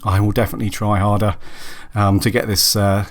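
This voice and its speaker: A low-voiced man talks through his solo podcast, and a cold leaves him raspy and husky. He chats casually and loosely, full of ums and restarts. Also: level tone, a little fast. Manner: rambling, with many ums and restarts. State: hoarse from a cold. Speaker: podcast host.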